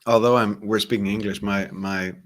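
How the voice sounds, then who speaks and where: Deep-voiced Swedish man, calm and reflective. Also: moderate pace. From Sweden. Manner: calm, reflective, repeats words as he searches. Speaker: hypnotherapist guest. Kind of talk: casual interview conversation.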